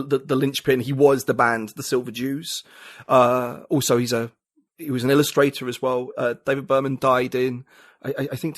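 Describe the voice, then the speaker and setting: A man's voice: mid-range voice, a bit bright, no room sound. Reflective man, dry sound. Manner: reflective, searching for words. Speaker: podcast guest. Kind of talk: casual conversation.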